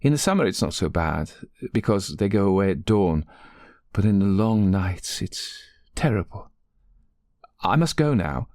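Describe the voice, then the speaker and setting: A man's voice: low voice, very animated, measured pace, darkish tone, dry recording, studio quality, heavily acted. Man voicing a frightened, hesitant, meek woman. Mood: tearful despair. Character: frightened, downtrodden, tearful lady's companion. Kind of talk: audiobook character voice.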